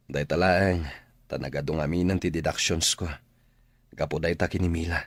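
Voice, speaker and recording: Deep, husky working family man. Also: dry recording, exaggerated voice. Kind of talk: Ilocano radio drama acting.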